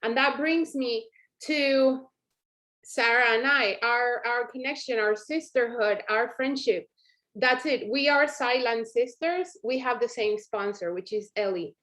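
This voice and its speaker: A woman's voice: fairly high pitch, unhurried, fairly bright tone. A woman hosting an online team call, giving an informal, encouraging motivational talk in a chatty way.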